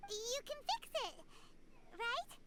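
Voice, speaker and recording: A woman voicing a tiny, eager fairy-like girl companion in a video game, with a squeaky childlike voice and bubbly manner. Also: big pitch swings, slow, over loud music, heavily acted. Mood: surprised, eager. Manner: bubbly, refers to self in third person. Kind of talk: video game character acting. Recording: game audio with loud sounds behind.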